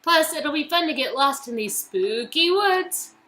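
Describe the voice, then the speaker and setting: An eager, teasing young woman from a romance game, played by a woman. Her high voice is bright and swings widely, perky and full of curiosity. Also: expressive, unhurried, slight background noise, heavily acted. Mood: upbeat. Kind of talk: visual-novel dialogue reading.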